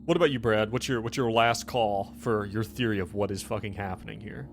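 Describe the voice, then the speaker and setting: Low-voiced man hosting, music behind. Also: fairly quick, gravelly. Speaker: host and game master. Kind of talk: casual banter introducing a tabletop roleplaying game session.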